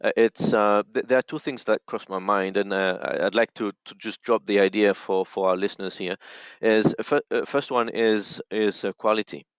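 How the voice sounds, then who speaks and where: A man speaking as a podcast guest, giving conversational advice in a halting, measured way over dull call audio. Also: lowish voice, fairly even intonation, clean tone, dry recording. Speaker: podcast guest, radio expert.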